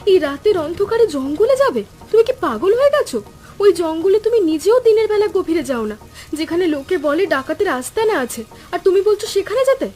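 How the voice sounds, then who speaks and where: A woman's voice: extremely high pitch, big pitch swings, fast talker, a little mellow. A woman playing a fretful village wife and mother in a cartoon, worried and dramatic. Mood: alarmed. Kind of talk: cartoon voice acting.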